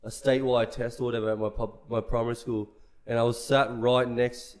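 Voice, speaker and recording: A man's voice: mid-range voice, little pitch movement, a little fast, a little mellow. A young man, co-hosting a podcast in laid-back casual chat. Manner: laid-back, says "bro" a lot. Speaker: podcast co-host.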